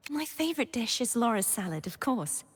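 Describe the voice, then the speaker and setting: Soft breathy young maiden heroine from a video game, earnest n wistful, lots of long reverb. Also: female voice, a little high, sing-song, brisk. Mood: candid, light. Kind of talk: scripted game character voice lines.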